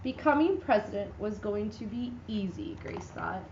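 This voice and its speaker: A woman giving a dramatic reading of a children's picture book, with lively, expressive delivery and voices for the characters. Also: mid-range voice, normal speed, echoey room, lo-fi sound, slight background noise. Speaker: reader.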